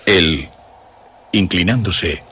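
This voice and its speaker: Male horror narrator, low pitch, slow and deliberate, dramatic, Castilian Spanish accent, faint noise behind. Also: pushed and bright, exaggerated voice. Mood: ominous. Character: grave male narrator of a vampire tale. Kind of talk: scripted radio horror narration.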